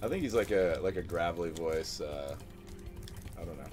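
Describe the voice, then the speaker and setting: A man's voice: deep voice, moderate pace, a little mellow, faint music behind. A man co-hosting a studio game livestream in casual chat, making playful, mock-serious announcements. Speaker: stream co-host.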